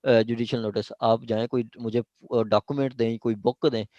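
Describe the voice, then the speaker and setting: A man's voice: mid-range voice, steady tone, moderate pace, a little mellow, no room sound, highs cut off. Pakistani man teaching law, dry close sound. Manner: explanatory, repeats points, mixes in English legal terms. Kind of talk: informal lecture explaining statute clauses to a class.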